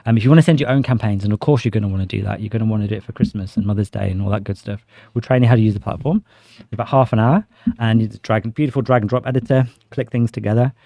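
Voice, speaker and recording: A man's voice: lowish voice, racing, creaky, warm tone, no echo. A man explaining a product conversationally as an interview guest, with enthusiasm and everyday examples. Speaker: interview guest, sales director.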